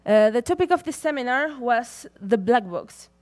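A young woman speaks from a conference stage, her voice sitting a little higher than most. She talks in a measured, deliberate way, moving steadily through prepared remarks. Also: expressive, a little mellow. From Chile.

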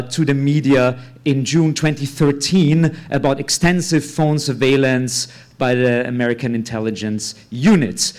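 A man with an Austrian German accent giving a live talk on stage, blunt, with lots of filler sounds and the odd curse word. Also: mid-range voice. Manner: hesitant, many uh fillers, blunt with curse words. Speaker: conference speaker. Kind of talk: live talk without slides.

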